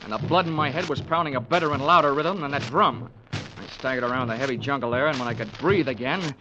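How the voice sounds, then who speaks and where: An American actor playing a sardonic, hard-boiled investigator who wisecracks through a 1940s radio drama. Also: male voice, medium pitch, quick pace, background noise, natural voice. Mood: wry narration. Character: wry, hard-boiled male investigator narrating his case. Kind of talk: 1940s radio detective acting. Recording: 1940s radio drama recording with organ music.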